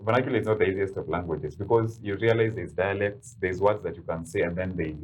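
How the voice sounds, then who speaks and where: A man with a Kenyan accent and a very low, deep voice talks fast and loosely as a studio guest. He laughs easily while he chats. A faint hiss sits under the recording. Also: low-quality recording. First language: Kikuyu. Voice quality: deep, manly voice. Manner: laughs often, quick and chatty. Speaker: voice-over artist, studio guest. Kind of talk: casual chat, mixing English and Swahili.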